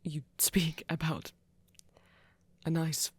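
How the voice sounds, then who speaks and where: A woman playing a refined, flirty male vampire with a breathy voice and formal, careful phrasing in an intimate audio roleplay. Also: sits low, little pitch movement, takes it slowly, no echo, full character voice. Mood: careful, concerned. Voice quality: breathy, whispery. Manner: formal, careful diction without contractions, gently teasing.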